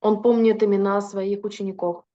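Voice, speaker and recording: A woman's voice: mid-range voice, flat delivery, moderate pace, highs cut off. A woman interpreting a lecture consecutively, fluent but with frequent filler hesitations.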